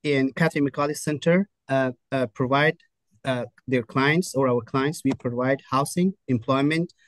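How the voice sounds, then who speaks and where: A man speaks on a conference panel, stopping often on uh and restarting his sentences. His pitch sits in the middle of the range, and the sound is dry and close. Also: dry recording, rough recording. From Afghanistan. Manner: halting, many uh fillers and restarts. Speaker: refugee services program manager. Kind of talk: panel introduction.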